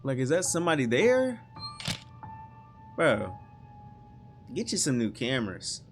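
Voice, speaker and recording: A man's voice: mid-range voice, wildly swooping pitch, measured pace. A man hosting a reaction video, commenting casually in slangy asides and reading captions aloud. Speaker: host reacting to an online horror series. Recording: music playing behind the voice.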